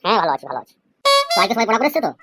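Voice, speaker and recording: A woman's voice: a little high, sing-song, very fast, over loud music. A woman voicing a stern, easily exasperated male schoolteacher in a comic cartoon. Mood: brisk. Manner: scolding, questioning.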